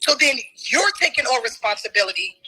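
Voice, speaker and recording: A woman's voice: on the high side, animated, fairly quick, husky, poor audio. A woman arguing in a heated debate, emphatic and challenging, and losing her voice. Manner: forceful, emphatic, challenging questions.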